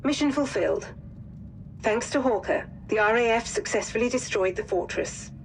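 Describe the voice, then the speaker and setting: Actress as female intelligence officer, measured, grave, formal mission briefing, video game, slight noise. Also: a little high, natural voice, rough recording. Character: composed female wartime intelligence handler giving formal briefings.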